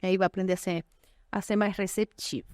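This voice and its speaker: A woman's voice: medium pitch, fairly even intonation, fairly quick, dry recording, studio quality. Chatty Brazilian-Portuguese woman host. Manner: warm effusive thanks, frequent fillers. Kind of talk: casual interview chat.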